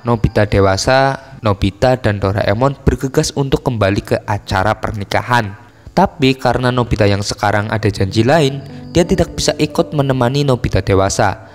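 A man's voice: on the low side, darkish tone. Man narrating in long reverb. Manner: informal storytelling with casual slang asides. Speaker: film recap narrator. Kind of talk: scripted narration retelling a film plot. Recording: voice-over with long reverb over music. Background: music.

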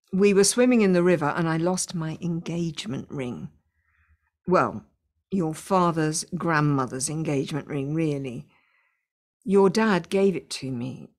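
A middle-aged actress playing a wistful, gentle mother with a grown child in a radio play. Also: sits low.

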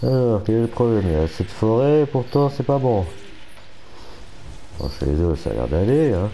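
Low mellow man with a cold. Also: big pitch swings, moderate pace, slight background noise, rough recording. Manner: muttering, swears at setbacks. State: has a cold. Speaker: gaming channel host. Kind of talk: casual gameplay commentary.

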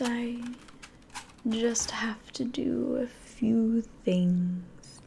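Girl doing a sneaky demon lady for asmr, super slow breathy whisper, coaxing, up close, bit of hiss. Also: fairly high pitch, a little mellow. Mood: coaxing, secretive. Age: 20s. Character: soft-spoken scheming female demon taking over the world. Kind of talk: ASMR roleplay. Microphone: close microphone.

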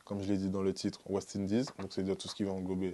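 A man's voice: low-pitched, completely flat delivery, quick pace. A young man from the Antilles, talking casually and in slang as a music artist being interviewed. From French Antilles (born in Guadeloupe, lived in Martinique and French Guiana). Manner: slangy, informal, peppered with "tu vois" and shout-outs. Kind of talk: casual interview talk to camera. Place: small dark recording studio, indoors.

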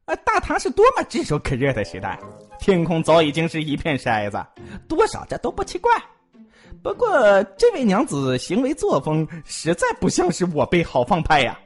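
A man's voice: fairly high pitch, wildly swooping pitch, moderate pace, faint music behind. A man voicing a talkative, joking young male physician who gossips and pleads comically in a multi-cast audio drama. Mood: gossipy. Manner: bantering, giggly, exaggerated pleading.

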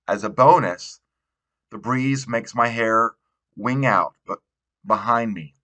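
A man's voice: on the low side, pushed and bright. A man narrating a young adult novel as an audiobook reader.

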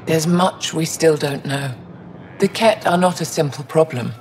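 A woman voicing an elderly, wise and wry alien scholar in a video game, speaking in a measured, dignified way. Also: low-pitched, a little mellow, slight background noise. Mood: thoughtful, wry. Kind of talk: video game dialogue acting.

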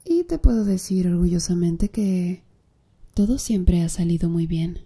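A slow, flirty tattoo artist with a dark, mellow tone, as a woman plays her for an ASMR roleplay. She speaks softly and breathily, teasing her client with easy confidence. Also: on the low side. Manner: soft, breathy, teasing with little laughs.

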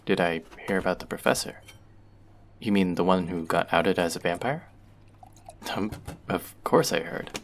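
Casting: male voice actor as a playful young barista, low gravelly voice, lively teasing delivery. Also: moderate pace, long pauses, slight background noise. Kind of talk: audio roleplay for a listener.

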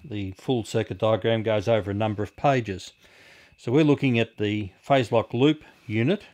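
A middle-aged man with a fairly low voice talks through things like a hobbyist presenter. His delivery is casual and explanatory, halting and unhurried. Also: darkish tone. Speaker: amateur radio hobbyist presenter. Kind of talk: casual explanatory video talk. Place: small indoor radio room.

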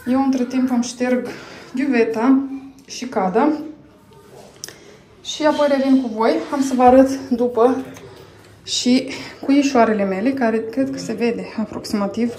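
A fairly high-voiced woman in her thirties from Romania talks chattily into her phone while tidying and cleaning her home. Her narration is relaxed and unscripted, with frequent pauses and fillers as she moves from one chore to the next. A faint hiss of noise sits behind her voice. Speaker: family vlogger. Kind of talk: casual clean-with-me vlog narration. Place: family home, indoors. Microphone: phone camera.

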